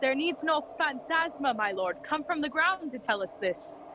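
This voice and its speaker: A high, lively loyal young courtier. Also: female voice, normal speed, slight background noise. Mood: wary, deferential. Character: loyal, wary young courtier friend of the prince. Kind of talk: bilingual Shakespearean audio drama acting.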